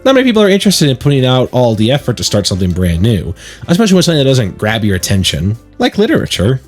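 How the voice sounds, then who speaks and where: A man's voice: medium pitch, huge pitch swings, very fast, faint music behind. A man voicing a confident, earnest schoolgirl club president from a visual novel, speaking encouragingly. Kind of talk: reading visual novel dialogue.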